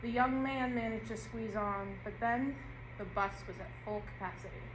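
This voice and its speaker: A woman actor reading an audio play script at rehearsal in a measured storytelling way. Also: fairly high pitch, lightly acted. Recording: roomy and noisy rehearsal room.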